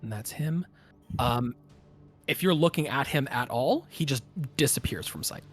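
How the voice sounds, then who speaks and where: A man running a tabletop game as game master, narrating the action casually and thinking aloud in halting phrases. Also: mid-range voice, expressive, breathy edge, faint music behind.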